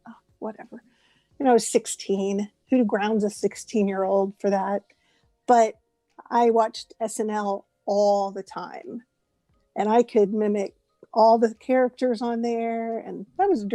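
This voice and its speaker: Woman late 40s, kinda high mellow voice, warm chatty guest, dry close mic. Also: dry recording. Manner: chatty, warm, laughs often. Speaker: guest voice actress. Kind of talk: casual interview chat.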